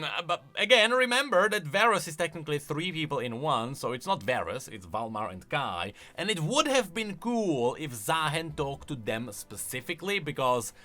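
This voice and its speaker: A man with a fairly high, bright voice talks casually like a gaming YouTuber. His delivery swings up and down in lively fashion as he explains and gives his opinions. Faint music plays underneath. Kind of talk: casual commentary on game voice lines.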